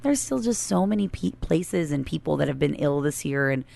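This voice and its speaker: Late 30s woman podcast cohost, low voice, chatty and informal, laughs easy. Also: lively intonation, moderate pace, vocal fry, mellow. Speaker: podcast co-host. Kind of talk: casual banter with spouse.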